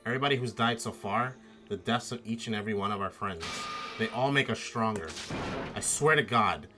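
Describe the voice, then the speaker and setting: Man playing an earnest, low-voiced schoolboy hero. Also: brisk, close to an everyday voice. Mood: defiant. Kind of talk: fan voice-over of a visual novel game. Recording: game music behind the voice.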